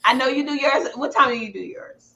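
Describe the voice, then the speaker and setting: Woman, American accent, highish bright voice, animated swings, exclamatory casual chat host. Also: a little fast, poor audio. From Houston, Texas, United States. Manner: exclamatory, dramatic, acts out quoted remarks.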